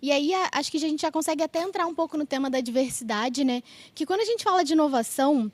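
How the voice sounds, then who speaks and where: A woman's voice: sits high, lively intonation, fast talker, dry recording. A woman with a Brazilian accent hosting a podcast, talking conversationally and politely as she explains her way into each question. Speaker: podcast host. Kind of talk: conversational interviewing.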